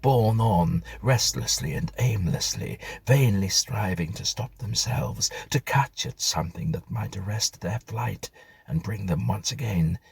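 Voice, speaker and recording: Guy reading a spooky old story, deep n really breathy-husky, measured formal narrator. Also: no echo. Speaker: audiobook narrator. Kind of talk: scripted literary narration of a ghost story.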